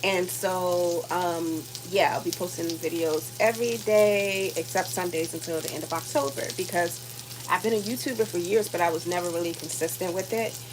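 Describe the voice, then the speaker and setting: A woman's voice: on the low side, fairly even intonation, normal speed. A woman in her thirties chatting casually to her viewers while she cooks at home, rambling with lots of fillers and sounding worn out. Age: 30s. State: tired. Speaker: vlogger. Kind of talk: casual chat while cooking. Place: home kitchen. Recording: sizzling cooking noise behind. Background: food sizzling in a pan.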